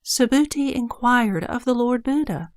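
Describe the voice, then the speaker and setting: A woman's voice: a little high, lively intonation, a little slow, dry recording, clean studio sound. A woman reading scripted narration for an audio drama as its narrator.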